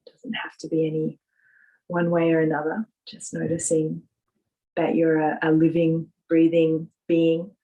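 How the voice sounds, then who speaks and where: A woman leading a guided visualisation meditation, speaking softly in a gentle, soothing manner with drawn-out pauses. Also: low voice, completely flat delivery, phone-quality sound.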